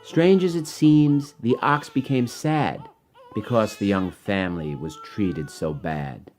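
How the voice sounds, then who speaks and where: A man reading his own rhyming children's story in an expressive, dramatic way. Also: fairly low pitch, slow.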